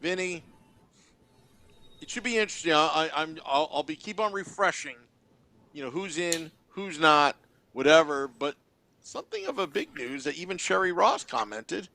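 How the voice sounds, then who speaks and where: A man's voice: a little high, animated, moderate pace, vocal fry, pushed and bright, dry recording. A man hosting a live hockey game-day show, talking into a close studio microphone. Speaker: sports podcast host.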